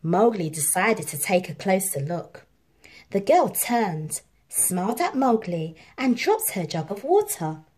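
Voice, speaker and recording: A woman reads a children's story aloud in an expressive, scripted style. Her pitch moves in lively swings, her pace is slow and measured, and her voice has a husky edge. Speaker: storybook narrator.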